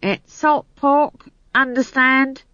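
A woman's voice: on the low side, animated, normal speed, a bit bright, no room sound, muffled recording. A woman voicing a grumpy, sarcastic male dwarf in a storybook, curt, muttering and growling. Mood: sharp, sullen.

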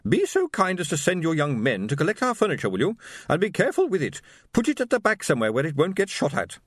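Man doing bossy society wife, high and pinched, demanding, dry close sound. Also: wildly swooping pitch, fast talker, husky, no room sound, full character voice. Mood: haughty. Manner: haughty, demanding. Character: shrill imperious middle-aged wife.

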